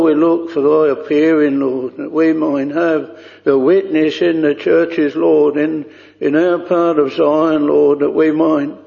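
Man praying slowly in a gravelly voice. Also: on the high side, mellow. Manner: slow, solemn, pleading with archaic thee and thou. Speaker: minister leading prayer. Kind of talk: extempore congregational prayer. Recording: lo-fi recording with long reverb in a large hall.

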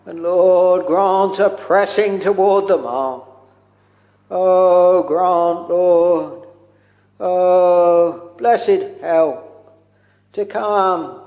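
Man, pulpit prayer, intoning and pleading, fairly high voice, dull old recording. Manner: slow, pleading, intoning with many "oh" and "Lord" interjections. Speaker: minister leading prayer. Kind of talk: extempore pulpit prayer in old-fashioned English. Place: church or chapel. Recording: dull lo-fi recording with long hall reverb.

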